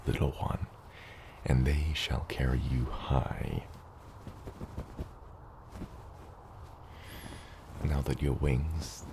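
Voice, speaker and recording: An extremely low, gravelly dragon father, acted by a man, mentors a hatchling in an ASMR roleplay. He takes his time, patient and gently teasing, with some whispery edges. Clear ambient noise sits behind the voice. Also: exaggerated voice. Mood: gentle, instructive. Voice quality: very deep, gravelly, partly whispery. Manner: slow, measured mentoring with hesitant asides.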